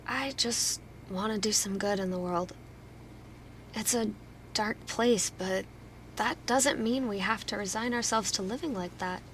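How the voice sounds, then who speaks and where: A woman's voice: medium pitch, takes it slowly, pauses often, fairly bright tone, slight background noise. A woman playing an earnest, wounded but hopeful helper in a naturalistic audio drama. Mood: subdued, hopeful.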